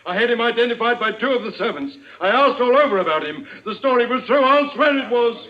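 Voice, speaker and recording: An actor playing a frightened, pleading criminal gang member, frantically protesting in an old radio thriller. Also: male voice, extremely high pitch, animated, quick pace. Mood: desperate pleading. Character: panicked, pleading male gang member under accusation. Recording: dull, lo-fi radio drama recording.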